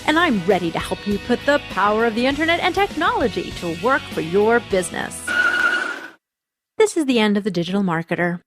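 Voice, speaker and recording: A woman's voice: medium pitch, very animated, a little fast, over loud music. A woman reading scripted narration for podcasts and radio, as a host and reporter.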